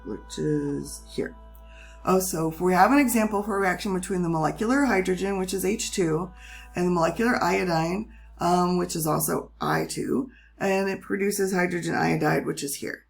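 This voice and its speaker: Woman, hoarse with a cold, gravelly, lower voice, patient chemistry teacher. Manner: patient, reassuring, steps through material. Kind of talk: recorded classroom lesson.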